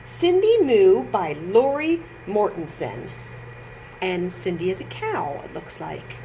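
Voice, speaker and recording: A woman with a lively, swooping voice reads a children's story at an unhurried pace. She stresses words in a sing-song way and adds little asides to young listeners. There is clear noise behind her. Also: mid-range voice, low-quality recording. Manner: sing-song, exaggerated emphasis, pauses to comment on pictures. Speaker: children's librarian storytime reader. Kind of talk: expressive read-aloud for young children.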